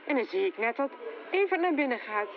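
Suspicious lookout colleague, high voice, thin phone sound, audio drama. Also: female voice, very animated, fairly quick, crowd noise. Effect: phone or radio filter. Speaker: actor. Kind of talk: fiction podcast, phone report acting. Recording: tinny phone line outdoors, heavy noise.

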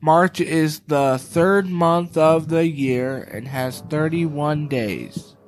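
A young man with a slightly high voice talks slowly and plainly as a podcast team member. There is faint music around his voice. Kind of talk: simple reading of facts and casual chat.

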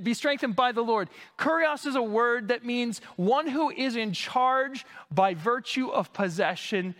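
A male pastor teaching from the pulpit with a high voice, unpacking Greek words in a relaxed, conversational manner. He keeps coughing as he recovers from a lost voice, and his words ring out with a long reverb through the church sanctuary. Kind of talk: expository sermon.

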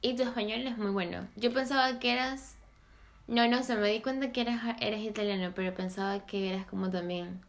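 A woman hosting a casual chat, curious and quick to ask questions. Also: mid-range voice. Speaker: host.

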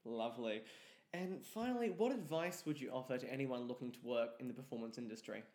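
A man's voice: mid-range voice, expressive, fairly quick, darkish tone. A man hosting an interview podcast, speaking politely and courteously in a conversational way. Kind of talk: conversational interviewing and show presenting.

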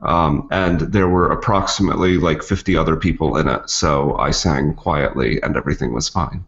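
A man with a very low voice talks in dry, joking chat that rambles with uhs, and he sounds like he is getting over a cold. The audio is dull and lo-fi. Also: moderate pace, fairly bright tone. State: recovering from a cold. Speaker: podcast co-host. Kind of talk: casual podcast chat.

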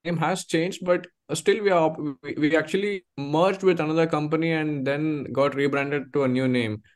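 Slightly high-pitched young male caller. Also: fairly even intonation, moderate pace. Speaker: caller seeking immigration advice. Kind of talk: explaining his situation on a conference call.

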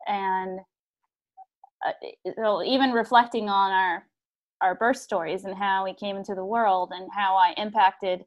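A woman hosting a podcast, talking in a lively, chatty way and laughing often. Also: medium pitch. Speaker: podcast host. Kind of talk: casual podcast conversation.

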